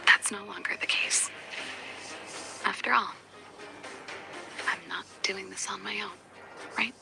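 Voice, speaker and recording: An actress voicing a reflective, candid adult sister in a video game, with a breathy, husky voice and a measured, gently wry, confiding way of talking. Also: female voice, medium pitch, steady tone, over music. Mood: reflective, resolved. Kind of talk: English video game voice acting.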